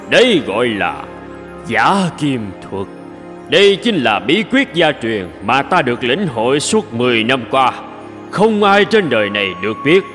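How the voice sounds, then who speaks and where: A man voicing a proud, secretive old alchemist in a cartoon, lecturing in a grandiose, deliberate way. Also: mid-range voice, big-room sound. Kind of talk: animated tale dubbing.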